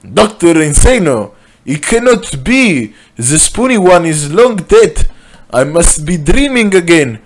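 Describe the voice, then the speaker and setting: A man voicing an excitable, melodramatic exiled Frenchman in a comic story, exclaiming loudly. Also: fairly high pitch, slow pace, voice pushed up, exaggerated voice. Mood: shocked disbelief.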